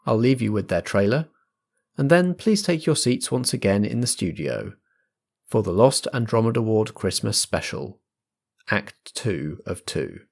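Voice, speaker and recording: A man's voice: on the low side, animated, unhurried, vocal fry, darkish tone. A man hosting as compere and game master, making announcements and setting scenes with theatrical phrasing. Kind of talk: scripted announcements and scene narration.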